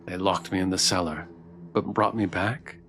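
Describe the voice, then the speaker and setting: Man reading as a low-voiced, questioning wronged spirit. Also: steady tone, moderate pace, vocal fry, over music. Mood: hurt. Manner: questioning, earnest. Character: confused, wronged spirit demanding answers. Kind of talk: reading game dialogue aloud in character.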